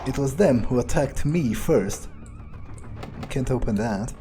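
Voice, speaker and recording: A man's voice: medium pitch, expressive, slow pace, mellow, soft background music. A man thinking aloud in character during a video game playthrough, sardonic and hesitant, with casual swearing. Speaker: gamer playing in character.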